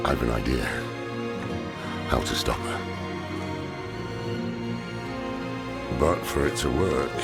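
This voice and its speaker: A grave old scholar from a fantasy game. His voice is extremely low and heavy with gravelly creak. He speaks in a weary, deliberate, brooding way over loud cinematic music. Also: full character voice. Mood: resolute. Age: older. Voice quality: deep gravelly voice. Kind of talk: dramatic video game acting.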